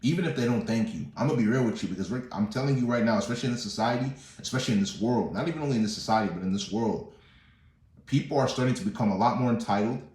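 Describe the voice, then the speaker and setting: A man's voice: medium pitch, rapid-fire, darkish tone. A young man born in the US to a Nigerian family, giving a casual motivational talk and preaching with lots of "bro" and "you know what I'm saying". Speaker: motivational vlogger. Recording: echoey room.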